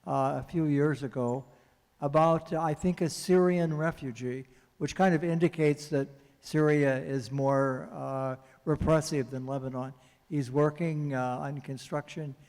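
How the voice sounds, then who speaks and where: A man's voice: on the high side, darkish tone. A man in the audience putting a long, hesitant question to a panel, with many fillers. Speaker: audience member asking questions. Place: festival panel venue. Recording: hall with long reverb.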